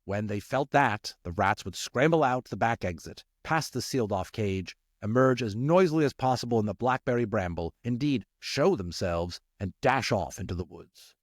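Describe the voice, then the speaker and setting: A man's voice: lowish voice, animated, moderate pace, hardly any pauses, no echo, clean studio sound. A man narrating a story expressively, as an audiobook reader.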